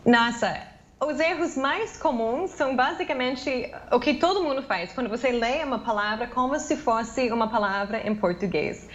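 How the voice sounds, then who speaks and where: A woman's voice: on the high side, moderate pace. American woman on a dull video call. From United States. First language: English. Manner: chatty, many "ah" fillers and restarts. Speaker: interviewee, English teacher. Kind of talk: remote news interview. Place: home office.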